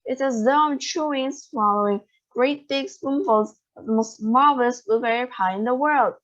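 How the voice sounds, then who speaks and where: A woman's voice: on the high side, normal speed, fairly bright tone, voice pushed up, lightly acted. A woman voicing a spoiled, bossy girl in a read-aloud storybook, talking in a breathless, self-absorbed way. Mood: rapturous. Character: spoiled gum-chewing girl, bossy and rude. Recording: dull lo-fi recording.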